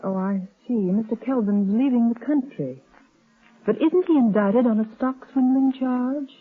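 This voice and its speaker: A woman's voice: on the high side, a little slow, slightly rough. An actress playing a sensible female companion to a sleuth, earnest and inquisitive, in 1930s radio style. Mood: probing. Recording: tinny, band-limited 1930s radio recording.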